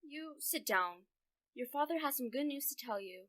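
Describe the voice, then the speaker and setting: A woman playing a bitter, scolding, bickering mother in a radio drama, blunt and sharp-tongued. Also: high voice, lively intonation, moderate pace, dry recording. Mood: scolding, irritated.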